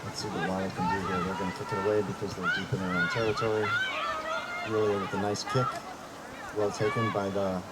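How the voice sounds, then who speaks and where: American guy doing rugby play-by-play outside, low voice, loud wind noise on the mic. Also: level tone, a little fast, a loud crowd. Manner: explanatory, casual, frequent fillers. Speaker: commentator. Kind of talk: rugby commentary explaining rules. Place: outdoor sports field.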